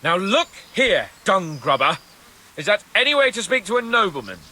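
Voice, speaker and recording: A man's voice: high voice, very animated, normal speed, pushed and bright, a little hiss or hum, full character voice. A man playing a boastful, pompous young nobleman in a medieval video game cutscene, mocking and full of theatrical exclamations. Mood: playful bluster.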